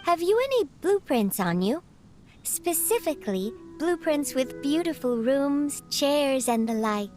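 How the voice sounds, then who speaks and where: Plump female bird spirit, high voice, lilting, formal butler manner, deliberate, fantasy game, music underneath. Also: lively intonation, darkish tone. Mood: courteous, instructive. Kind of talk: video game character dialogue.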